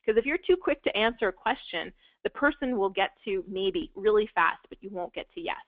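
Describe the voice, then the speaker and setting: A woman's voice: medium pitch, lively intonation, brisk, no room sound. A woman presenting a live real estate investing webinar, explaining at length with frequent fillers and restarts while her voice wears thin. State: losing her voice. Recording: dry, phone-like webinar audio.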